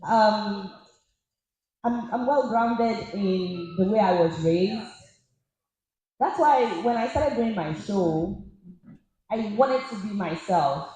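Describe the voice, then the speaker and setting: A woman talks on a panel stage in a lively, storytelling way and laughs often. Her voice is mellow and a little dark. It rings through a large, very echoey auditorium. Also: medium pitch, takes it slowly, pauses often. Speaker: panel guest. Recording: echoey hall.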